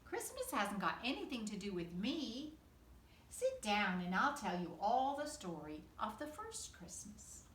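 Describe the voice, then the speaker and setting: A woman's voice: mid-range voice, very animated, unhurried, fairly bright tone, roomy sound, distant mic, a little hiss or hum. A woman voicing a kindly, reassuring old Santa Claus in a storybook reading.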